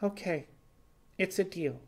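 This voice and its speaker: A man plays a weary female animal fugitive in a sci-fi audiobook. Her voice is lifted high, and she speaks haltingly, overwhelmed and unsure. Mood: relieved agreeing. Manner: hesitant, halting.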